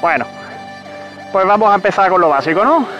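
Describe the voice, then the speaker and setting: Chatty high-voiced man on a motorbike. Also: expressive, a little fast, sharp tone, soft background music. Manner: chatty, joking, laughs at himself. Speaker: motovlogger. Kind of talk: casual motorcycle review while riding. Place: outdoors riding a motorcycle in city traffic.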